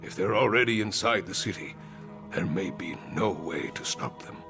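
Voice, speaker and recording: A man playing a grave, foreboding character in a fantasy video game, with a gravelly voice. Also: sits low, moderate pace, over music. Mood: grim foreboding.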